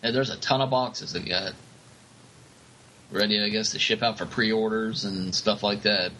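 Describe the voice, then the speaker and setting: A man's voice: lowish voice, fairly even intonation, normal speed, sharp tone, slight background noise, rough recording. A man rambling casually as a podcast co-host, often falling back on "you know".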